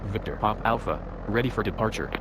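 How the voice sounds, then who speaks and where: A man's voice: lowish voice, brisk, natural voice. A man voicing a businesslike light aircraft pilot in a simulator game, making clipped, formal radio calls. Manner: clipped, procedural. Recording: clear aircraft engine noise.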